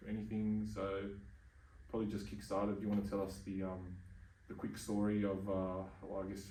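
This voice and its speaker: A man interviewing a guest, relaxed and rambling, with lots of fillers. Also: lowish voice, moderate pace, roomy sound, recorded from a distance, a little hiss or hum, low-quality recording. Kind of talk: casual interview chat.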